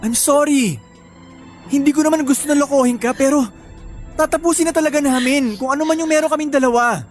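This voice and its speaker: A man's voice: extremely high pitch, a little fast. A guilty young boyfriend with a Filipino accent. He pleads and explains in an earnest, worn-out way, in radio drama style over faint background music. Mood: apologetic. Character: guilty, apologetic young boyfriend, weary and self-justifying.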